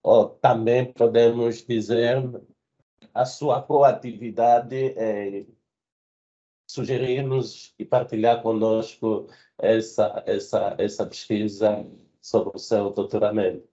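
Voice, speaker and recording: A man's voice: lowish voice, little pitch movement, slow, dark tone, lo-fi sound. A man hosting an online debate session, introducing the guest in a formal manner with frequent self-corrections. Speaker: host, moderator.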